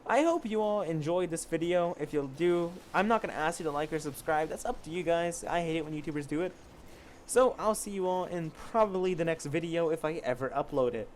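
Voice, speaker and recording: Man, somewhat high voice, casual amateur story reading, frequent stumbles and corrections, light noise. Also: fairly quick. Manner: stumbles over the text and corrects himself. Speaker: amateur YouTube story reader. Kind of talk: casual read-aloud of fan fiction.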